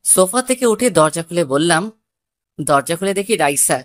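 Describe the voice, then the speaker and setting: A woman's voice: lowish voice, animated, moderate pace. A woman narrating a scripted first-person love story.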